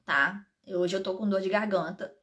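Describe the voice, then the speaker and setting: A Brazilian woman, an integrative therapist and pharmacist, chatting casually to live-stream viewers with a slightly hoarse voice and frequent asides. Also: medium pitch, steady tone, moderate pace, a bit bright.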